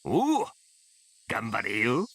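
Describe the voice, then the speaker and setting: Gruff old coroner from a visual novel, gravelly, drawly old-man talk, very animated. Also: medium pitch, unhurried, full character voice. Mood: jovial, wry. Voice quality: hoarse, gravelly. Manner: drawling, folksy elderly phrasing. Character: crusty old male coroner, gruff and wry. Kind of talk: Japanese visual novel voice acting.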